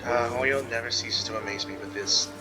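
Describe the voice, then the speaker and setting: A man giving casual let's-play commentary, thinking aloud in a halting way. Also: medium pitch, steady tone. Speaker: gaming video host. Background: faint game music.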